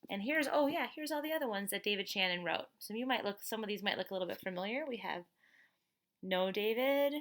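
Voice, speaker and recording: Lady teacher reading a kids book, medium pitch, chatty and expressive. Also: brisk. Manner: expressive, chatty with young listeners. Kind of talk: picture book read-aloud with casual asides.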